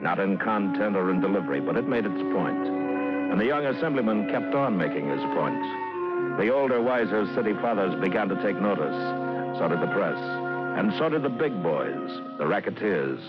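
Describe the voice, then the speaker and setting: A man narrates a vintage radio play in a low, measured voice. The recording is muffled, with loud music behind his dramatic delivery. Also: big pitch swings.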